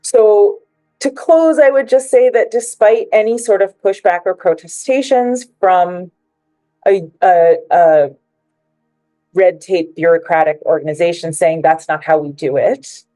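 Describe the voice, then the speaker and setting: A woman's voice: lowish voice, a little slow, mellow, no room sound, soft background music, poor audio. A woman giving prepared, persuasive remarks as a panelist at a book presentation, joining remotely.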